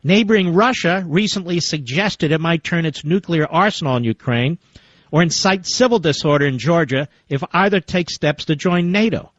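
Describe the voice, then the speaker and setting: A man's voice: on the high side, expressive, moderate pace, fairly bright tone, no echo. A man hosting a talk radio show, reading quotes aloud with sarcastic asides and interviewing a guest. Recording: dull lo-fi radio audio.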